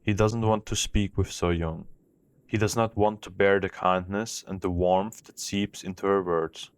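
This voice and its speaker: A male narrator with a deep, low voice. He reads scripted audio drama narration, telling the story in the third person. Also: steady tone, unhurried, clear, resonant tone, no room sound.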